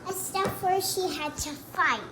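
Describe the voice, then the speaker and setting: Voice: extremely high pitch, unhurried. A young child improvising a story as the storyteller of a puppet show. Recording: noisy homemade recording.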